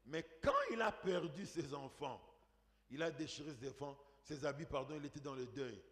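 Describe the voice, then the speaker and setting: A man's voice: a little high, moderate pace. A man preaching a sermon as a pastor, in an emphatic, dramatic manner with rhetorical questions. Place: church hall. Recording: echoey hall with long reverb.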